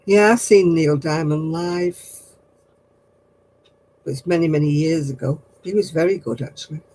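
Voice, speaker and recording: An older woman chatting casually on her craft livestream, answering viewers in a low, husky voice and a rambling, gossipy way. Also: normal speed, mellow, rough recording. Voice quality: low, husky voice after throat surgery.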